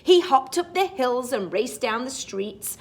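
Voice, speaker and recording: A woman storytime teacher reading a picture book aloud to young children, expressive and sing-song, with chatty asides. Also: sits high, a little slow.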